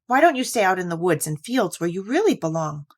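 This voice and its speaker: A woman voicing a friendly, curious boy rag doll in a storybook, with a lively manner. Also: on the low side, brisk.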